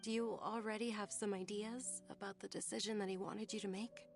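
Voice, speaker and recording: A woman as a courteous young lady from an anime game. The voice is medium in pitch, gentle and measured, earnest in tone, with faint music behind it. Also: steady tone, creaky, natural voice. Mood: consoling.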